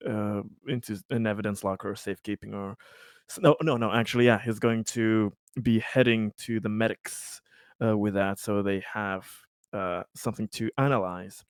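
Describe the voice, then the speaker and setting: A man playing a tabletop game, describing his character's actions with halting, improvised phrasing. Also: lowish voice, steady tone, smooth, dry recording, clean studio sound.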